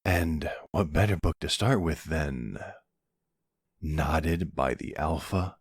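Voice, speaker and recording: Man playing a gravelly, very low-voiced mafia boss. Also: dry recording, studio quality, full character voice. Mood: teasing, playful.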